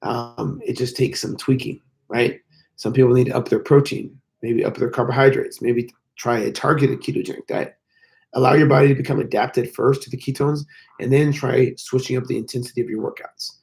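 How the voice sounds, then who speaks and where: Male fitness coach, hoarse and gravelly, casual rambling live-stream chat, mid-pitched voice. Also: fairly even intonation, a little fast, a little mellow. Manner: rambling, frequent fillers. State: hoarse, losing his voice.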